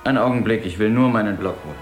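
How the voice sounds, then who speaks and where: An actor in a 1970s radio drama playing an unhurried, courteous, matter-of-fact merchant who sells dreams. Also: male voice, lowish voice, echoey room, faint music behind, natural voice. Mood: businesslike calm. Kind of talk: 1970s German-language radio drama acting.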